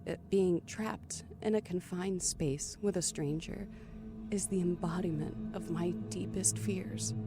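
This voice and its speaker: A woman's voice: lowish voice, warm tone. A woman playing an anxious woman trapped in an elevator with a stranger, in an audio thriller. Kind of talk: audio thriller acting with first-person narration.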